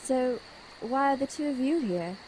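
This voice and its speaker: A woman's voice: high-pitched, lively intonation, normal speed, darkish tone, slight background noise, rough recording. A woman playing an earnest, lovelorn witch in an amateur audio drama. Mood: sympathetic.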